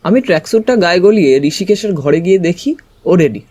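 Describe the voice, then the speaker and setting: A man's voice: high voice, animated, fast, a little mellow, soft background music. A man giving a dramatized first-person narration of a mystery story. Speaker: story narrator, also voicing the narrating character.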